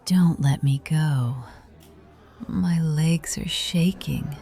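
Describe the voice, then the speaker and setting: A woman playing an affectionate, teasing yet cautious mature love interest in a visual novel, breathy and intimate. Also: low voice, drawn-out words, warm tone, exaggerated voice. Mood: breathless, smitten. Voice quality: breathy, often whispery. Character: Nora, affectionate older aunt, teasing yet cautious lover.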